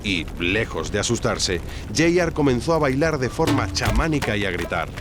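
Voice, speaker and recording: A man's voice: medium pitch, sing-song, moderate pace, over music. A man with an accent from Spain giving scripted, dramatic documentary narration. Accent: Spanish (Spain).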